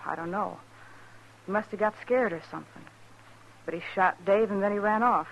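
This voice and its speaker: A woman's voice: medium pitch, moderate pace, lo-fi sound, a little hiss or hum, close to an everyday voice. A woman playing a frightened, hesitant young frontier saloon woman, plain-spoken and subdued, in 1950s radio western style. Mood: nervous.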